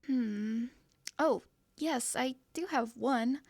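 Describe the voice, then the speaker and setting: A woman's voice: fairly high pitch, drawn-out words, gravelly, a little mellow, dry recording, clean studio sound, full character voice. A woman voicing a timid, apologetic young girl who stammers nervously. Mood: friendly. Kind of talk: fantasy audio roleplay.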